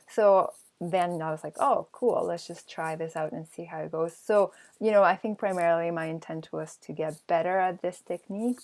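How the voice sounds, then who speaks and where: A woman from Peru, an artist answering questions in an online talk, with many pauses, ums and restarts. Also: fairly low pitch, gravelly. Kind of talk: casual Q&A answers.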